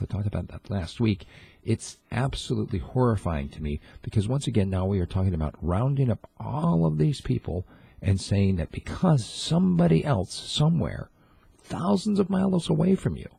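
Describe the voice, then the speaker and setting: Deep gravelly man musing on air. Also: dark tone. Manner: halting, self-correcting, many restarts. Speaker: commentary host. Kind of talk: solo political commentary.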